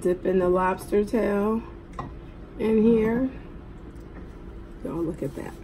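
Hoarse, slow-talking middle-aged woman. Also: medium pitch, steady tone, warm tone. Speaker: mukbang host. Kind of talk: casual eating vlog chat. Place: indoors at a table at home. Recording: clearly noisy room recording.

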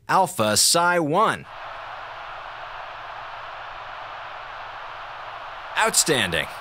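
An actor playing a sarcastic, theatrical slacker communications officer on a space station in a radio drama. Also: male voice, on the high side, bright, forceful tone, a little hiss or hum, full character voice. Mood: wry, drawling. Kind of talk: radio drama monologue as a log.

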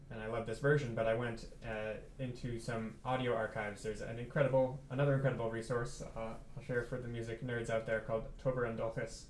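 Man in his thirties, pianist chatting between tunes, thoughtful, lots of ums, echoey living room, faint hiss. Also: medium pitch. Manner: relaxed, thoughtful, many fillers and restarts. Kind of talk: casual talk between tunes. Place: living room house concert, small audience.

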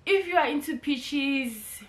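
A woman's voice: sits high, takes it slowly, roomy sound. A young woman from South Africa with a Pretoria accent, chatting playfully in a casual vlog, mixing English and local slang and breaking into bits of song. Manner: playful and animated, bursts into snatches of song. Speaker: vlogger. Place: indoors at home, in front of a brick wall.

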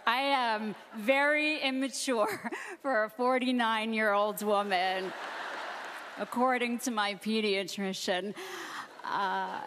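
A woman in her forties doing a stand-up set on a theater stage into a handheld mic, delivering deadpan self-deprecating one-liners. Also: fairly high pitch, a little hiss or hum. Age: 40s. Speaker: stand-up comedian. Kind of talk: stand-up set and backstage interview.

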